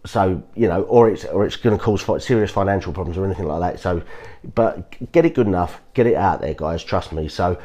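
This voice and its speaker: A man hosting a podcast, sounding croaky from a cold, giving earnest casual advice with frequent restarts and repeated reassurances to his listeners. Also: deep voice, lively intonation, fairly quick, warm tone. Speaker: podcast host. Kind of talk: casual motivational talk.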